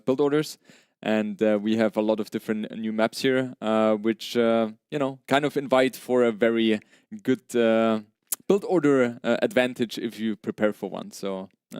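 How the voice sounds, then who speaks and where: Clear, conversational male esports commentator. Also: mid-range voice, a little mellow, no echo, clean studio sound. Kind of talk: live tournament commentary.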